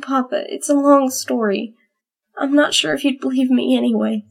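A man voicing a small, gentle young filly pony in a soft, high falsetto. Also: fairly even intonation, normal speed, creaky, exaggerated voice.

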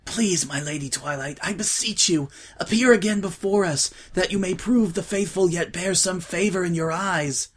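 A man voicing a frail, starving male changeling who clings to his faith, pleading in a raised, husky, breathless voice. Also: sits high, moderate pace, few pauses, voice pushed up. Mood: fervent pleading.

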